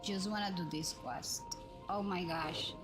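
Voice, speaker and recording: A woman's voice: on the low side, steady tone, a little slow. A woman live streamer chatting casually and thinking aloud while she plays a game. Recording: music playing behind the voice. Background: background music.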